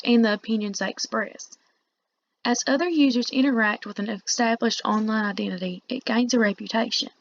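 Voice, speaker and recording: A woman teacher giving a read-along lecture, reading aloud and pausing to explain in informal asides. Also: mid-range voice, a little hiss or hum. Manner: explanatory, pausing to paraphrase the text. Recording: dull, muffled lo-fi recording.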